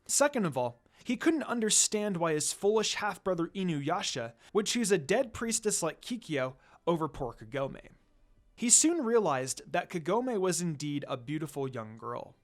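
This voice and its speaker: A man's voice: a little high, sing-song, normal speed. A man reading a story aloud as scripted narration. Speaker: fan fiction narrator.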